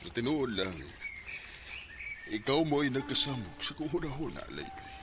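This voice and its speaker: A man's voice: mid-range voice, big pitch swings, moderate pace, over music, natural voice. An actor playing an earnest, conflicted married father in a Filipino radio drama, with a Bisaya accent. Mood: confessing, regretful. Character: conflicted married father, earnest, torn between love and family. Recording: noisy radio drama with ambient effects.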